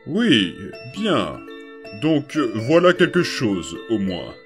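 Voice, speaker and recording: A man voicing a patient adult male skeleton who looks after strange children, speaking softly and haltingly with lots of small pauses. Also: medium pitch, wildly swooping pitch, music in the background, heavily acted. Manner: hesitant, soft-spoken, full of small pauses and fillers. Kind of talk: fan comic dub voice acting.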